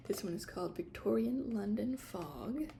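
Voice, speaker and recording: A woman doing a soft-spoken ASMR shop roleplay, explaining products in a casual, hesitant, unscripted way. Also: mid-range voice, animated, moderate pace, creaky, background noise. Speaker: ASMR creator playing a tea shop attendant.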